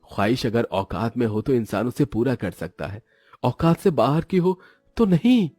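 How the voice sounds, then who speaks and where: A man's voice: medium pitch, expressive, normal speed, dark tone, husky, no echo, faint music behind. A man playing a modest, patient husband of small means in a cartoon story. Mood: hurt, reasoning. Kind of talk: cartoon voice acting.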